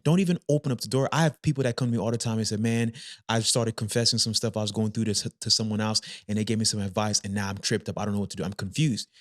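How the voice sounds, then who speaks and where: A man's voice: on the low side, very fast, dry recording, studio quality. A man in his thirties co-hosting a casual faith-based relationship podcast, talking energetically and driving his points home with repetition. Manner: energetic, emphatic, repeats points. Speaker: podcast co-host.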